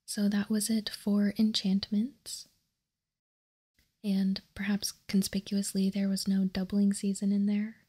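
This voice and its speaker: A woman gives whispered ASMR commentary in a breathy, husky voice. She explains calmly and softly, taking her time, close to the microphone. Her delivery stays very even. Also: medium pitch. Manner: soft, unhurried, calm explaining. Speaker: host of card-game ASMR channel.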